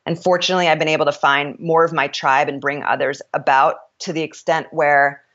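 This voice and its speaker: Deep-voiced woman, frank and opinionated. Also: steady tone, fairly bright tone, dull audio. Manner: frank and opinionated, with quick restarts. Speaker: author and startup founder, guest. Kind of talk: candid interview conversation.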